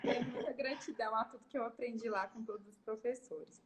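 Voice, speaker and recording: A woman's voice: fairly high pitch, fast, a crowd in the background. A woman hosting a live-stream interview, prompting her guest and thanking the organizers. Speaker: host, interviewer.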